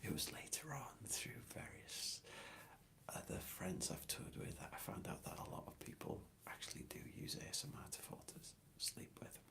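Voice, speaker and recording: A man whispering an ASMR question-and-answer ramble in a soft, hesitant and thoughtful way. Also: medium pitch, sing-song, normal speed.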